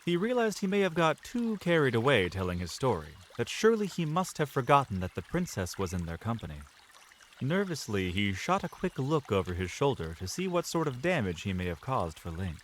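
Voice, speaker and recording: A man narrating a fantasy audio drama in a measured, dramatic storytelling manner. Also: mid-range voice, big pitch swings, a little hiss or hum.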